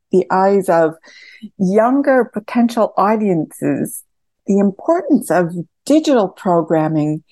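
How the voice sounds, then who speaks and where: A woman's voice: medium pitch, warm tone, dry recording. A woman theatre director answering questions reflectively as an interview guest.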